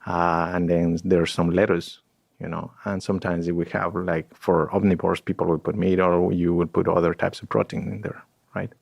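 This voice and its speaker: A man's voice: deep voice, normal speed, gravelly, no room sound, highs cut off. A man, a guest in a podcast interview, talking in a reflective way and searching for words. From Ecuador. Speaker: guest scientist.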